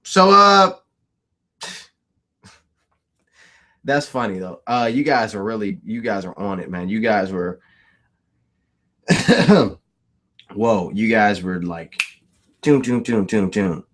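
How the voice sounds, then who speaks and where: A man's voice: fairly low pitch, lively intonation, slow pace, pauses often, a bit bright. A man hosting a casual livestream, who laughs often, repeats himself and answers his chat. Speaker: livestream host.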